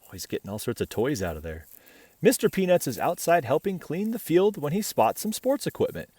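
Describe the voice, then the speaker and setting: A man reading a children's picture book aloud in an animated, playful way, chatting with and questioning the listener. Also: on the high side, a little fast, dry recording. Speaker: picture-book reader, host.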